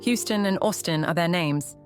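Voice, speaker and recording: An earnest, devoted friend in an audio drama, acted by a woman, speaks a little low with tender firmness. Music plays clearly behind the voice. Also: normal speed, natural voice. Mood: worried.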